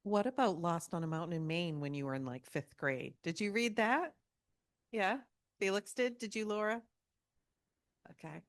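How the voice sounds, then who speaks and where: A woman with a fairly low voice speaks up from the audience at a meeting. Her comments and questions are informal, warm and encouraging. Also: expressive, moderate pace, long pauses.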